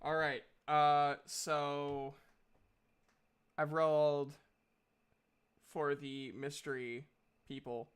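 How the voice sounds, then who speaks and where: A man casually narrating a tabletop game as game master, halting and thinking aloud as he goes. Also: on the high side, level tone, clean tone.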